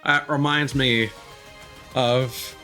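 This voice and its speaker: A man's voice: mid-range voice, steady tone, very slow, bright, forceful tone. A man doing casual, informal live commentary as a video game streamer. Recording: music playing behind the voice. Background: video game music.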